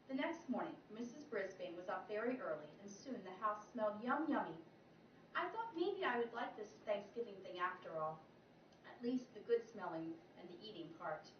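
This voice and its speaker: Woman reading a storybook aloud, lively swooping pitch, roomy distant sound, dull lo-fi recording with faint hiss. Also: normal speed. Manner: animated, shifting pitch for characters.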